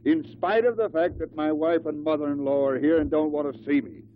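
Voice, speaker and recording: A man acts out an embattled, short-tempered husband in a vintage radio play. His slightly high voice is curt and sarcastic, on a narrow, tinny recording with faint hiss. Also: steady tone, a little fast, natural voice. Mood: testy, bitter. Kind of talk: 1950s radio drama acting.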